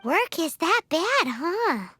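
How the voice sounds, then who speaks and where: A woman voicing a cheeky, childlike little fairy companion with a squeaky voice in a video game. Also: big pitch swings, slow, pushed and bright, no echo, soft background music, exaggerated voice. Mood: chirpy, curious. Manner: refers to self in third person. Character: small childlike floating fairy companion, cheeky and food-loving.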